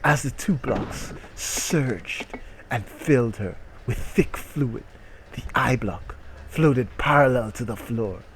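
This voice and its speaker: A man's voice: medium pitch, takes it slowly, a little hiss or hum, low-quality recording. A man hosting a comic dramatic reading of a short story, with mock-serious narration that can break into incredulous outbursts. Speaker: host reading stories.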